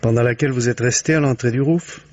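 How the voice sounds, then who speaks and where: A man's voice: mid-range voice, fast, darkish tone, close to an everyday voice. A man playing a shrewd, patient police commissioner in a French radio mystery, questioning in a probing, paternal, conversational way with muttered prompts. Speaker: actor in a radio mystery play. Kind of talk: 1950s French radio drama acting. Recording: muffled old radio recording.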